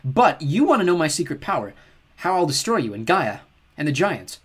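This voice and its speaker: A man's voice: fairly high pitch, big pitch swings, moderate pace, slightly rough, voice pushed up. A man voicing a brave, persuasive teenage demigod girl in an audiobook reading. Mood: coaxing. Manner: earnest, coaxing.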